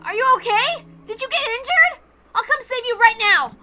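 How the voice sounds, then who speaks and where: A woman voicing a hot-headed, protective teenage boy in a visual novel reading, loud, urgent and exclamatory. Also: very high voice, expressive, moderate pace, slight background noise, voice pushed up, heavily acted. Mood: alarmed. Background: music.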